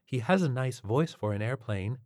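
A man voicing a waifish, naive female ghost in a story, gentle and guileless. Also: mid-range voice, lively intonation, normal speed, no echo, clean studio sound, lightly acted. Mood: mild, curious. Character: waifish, softly naive ghost girl, open to new experiences.

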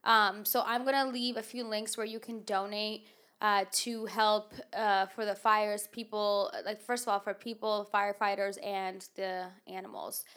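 A woman's voice: medium pitch, level tone, normal speed, fairly bright tone, clean tone. A woman hosting a podcast in casual chat, with a nasal voice and a rambling, filler-heavy way of talking. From United States. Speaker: podcast host.

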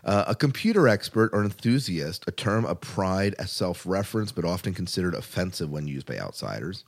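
A man's voice: sits low, expressive, brisk, creaky. A man hosting a podcast in a casual, chatty way. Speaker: podcast host.

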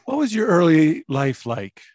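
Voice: mid-range voice, sing-song, measured pace, a little mellow. An interviewer asking questions in a spoken interview.

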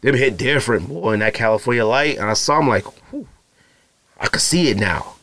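A man in his thirties with a naturally deep voice, hosting a podcast in loose, slangy casual chat full of fillers and asides to his listeners. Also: expressive, a little fast, bright, forceful tone, no room sound. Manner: feels hot and uncomfortable while recording. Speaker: podcast host. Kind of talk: casual solo podcast rambling.

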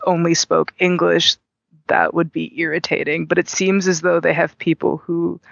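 A man's voice: a little high, gravelly, fairly bright tone, dry recording, highs cut off. A man speaking earnestly as an interview guest about his gaming community. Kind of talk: interview conversation.

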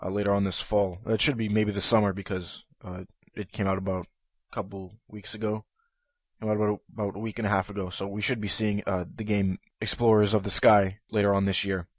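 A man's voice: on the low side, little pitch movement, fairly quick, no echo. A man hosting a podcast episode on his own, sounding nasal from a stuffy head cold, eager and prone to ums and restarts. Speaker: podcast host. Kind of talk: solo podcast talk.

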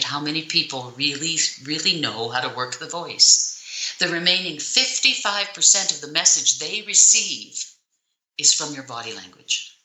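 Low-voiced woman, bright and emphatic. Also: reverberant, poor audio. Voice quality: low speaking voice. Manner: emphatic, deliberate, demonstrates with pauses and shifts in tone. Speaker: public speaking coach. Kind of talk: interview answers, instructive.

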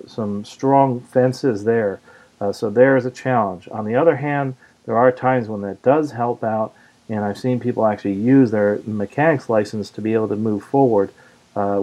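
A man's voice: fairly low pitch, fairly even intonation, normal speed, warm tone, rough recording. A man hosting a casual podcast, talking conversationally as he answers listener questions. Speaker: podcast host.

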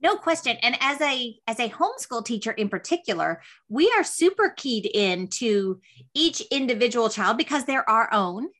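A woman hosts an interview podcast in a high-pitched voice with a bright, forward edge. She is chatty and emphatic, stacking phrases as she goes. The style is informal and conversational. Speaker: podcast host.

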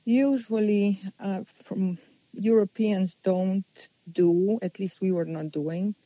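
A woman, answering interview questions unhurriedly and pausing as she thinks. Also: medium pitch, fairly even intonation, mellow, no room sound, lo-fi sound. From Slovenia. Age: 40s. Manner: unhurried, thoughtful, with many pauses and restarts. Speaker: guest.

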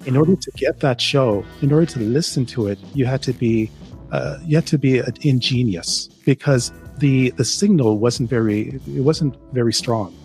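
A man's voice: medium pitch, moderate pace, gravelly, a little mellow, soft background music. A middle-aged man from Jamaica, a guest author, telling stories enthusiastically in a casual interview. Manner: enthusiastic storyteller, some restarts, laughs.

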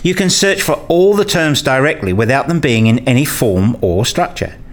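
A man acts out an eager, opinionated debater in a radio play. He speaks at an average male pitch and lays out his arguments in scripted, explanatory dialogue. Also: expressive, moderate pace, close to an everyday voice. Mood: earnest, argumentative. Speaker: radio play character in discussion.

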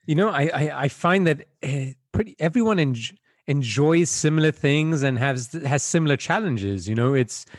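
A man's voice: fairly high pitch, expressive, normal speed, no echo, clean studio sound. A man from Belgium, a guest on an interview, answering in a reflective, conversational way with frequent fillers over a video call.

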